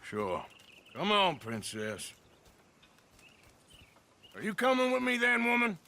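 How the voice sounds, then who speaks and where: An actor playing a gruff, blunt middle-aged outlaw in a video game cutscene, sarcastic and plain-spoken. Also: male voice, high-pitched, huge pitch swings, takes it slowly, sharp tone, exaggerated voice. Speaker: outlaw gang member.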